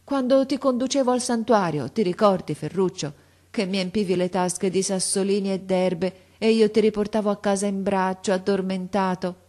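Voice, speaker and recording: A woman's voice: steady tone, moderate pace, mellow, close to an everyday voice. A woman voicing a frail, paralysed old grandmother who begs her grandson in a tearful, imploring way, her voice lifted above her own. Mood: pleading. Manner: tearful, imploring, raised pitch.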